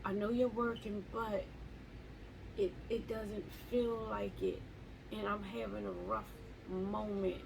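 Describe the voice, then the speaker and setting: A woman talking slowly and haltingly in a reflective live journal at home, often repeating words as she searches for them. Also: medium pitch, fairly even intonation, creaky, background noise. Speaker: vlogger.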